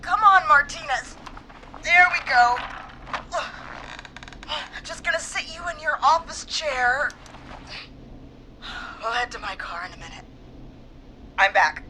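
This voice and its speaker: Frantic, high-pitched female police dispatcher. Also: animated, noisy background. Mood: urgent, focused. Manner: urgent, halting, panicky. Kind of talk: video game voice acting.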